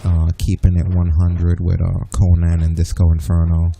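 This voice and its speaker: A man's voice: deep voice, monotone, normal speed, gravelly, husky. A man chatting casually while playing an online game with a friend, laid-back and rambling, swearing now and then. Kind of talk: casual gaming chat. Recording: game music playing loudly behind. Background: game music.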